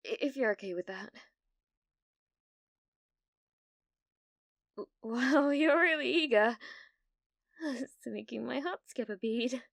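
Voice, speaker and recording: A British woman playing a needy, delusional young gamer in an ASMR roleplay, hesitant and stammering, blithely casual about dark things. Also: fairly high pitch, expressive, normal speed, vocal fry, no echo, clean studio sound. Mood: flustered, eager.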